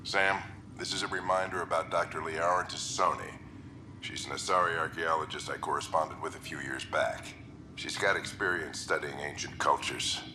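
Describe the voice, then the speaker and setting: Weary gruff game dad, super deep crackly voice, grave log entries, noisy ambience behind. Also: male voice, fairly even intonation. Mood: resolute. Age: middle-aged. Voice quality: gravelly, low. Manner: grave, reflective, measured. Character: weary, gruff explorer father recording personal logs. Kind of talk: video game voice acting.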